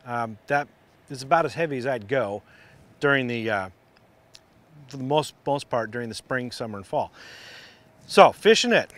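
A middle-aged man giving a relaxed, practical fishing lesson on a lakeshore, recorded on a lapel mic. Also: medium pitch, moderate pace, long pauses. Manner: relaxed, practical explaining with restarts. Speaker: fishing instructor. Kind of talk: outdoor how-to demonstration. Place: outdoors on a lakeshore.

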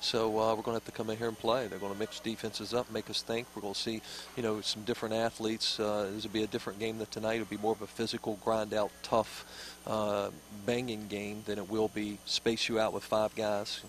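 A man's voice: on the low side, level tone, fairly quick, slight background noise. A middle-aged man on a headset mic at a courtside table in an arena, answering postgame questions as head coach, repeating phrases with many fillers. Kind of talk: postgame interview.

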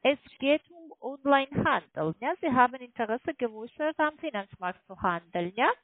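A high-voiced woman with a foreign accent works through a sales pitch on a muffled phone line. She speaks haltingly in non-native German, pressing on and often seeking confirmation. The sound is dry and narrow. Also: expressive, no room sound. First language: not German. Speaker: call centre telemarketer selling online trading. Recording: muffled phone line, fluctuating microphone volume.

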